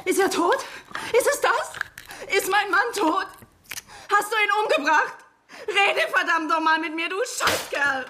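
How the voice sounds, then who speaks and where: Verzweifelte, misstrauische Ehefrau, Geräuschkulisse im Hintergrund. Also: female voice, very high voice, expressive, unhurried, noisy background. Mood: desperate rage. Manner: intense, bitter. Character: distraught, suspicious wife of a missing man, sharp-tongued. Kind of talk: radio drama acting with inner narration.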